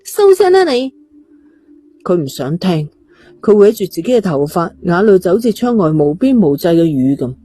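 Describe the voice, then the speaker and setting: Low, mellow woman storyteller. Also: animated, normal speed, slightly rough, no room sound. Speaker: audiobook narrator. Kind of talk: scripted story narration of a thriller.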